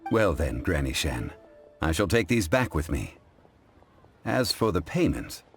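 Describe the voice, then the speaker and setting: Game role: erudite, dignified gentleman, very deep, formal delivery with expressive intonation. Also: a little slow, exaggerated voice. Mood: courteous. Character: dignified, scholarly, composed gentleman consultant. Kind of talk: video game cutscene dialogue. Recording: music behind the voice.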